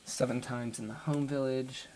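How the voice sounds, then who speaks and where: A man live-streaming a game, chatting casually to his viewers with a slightly hoarse voice and lots of fillers as he thinks aloud. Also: mid-range voice, fairly even intonation, moderate pace, darkish tone, slight background noise, low-quality recording.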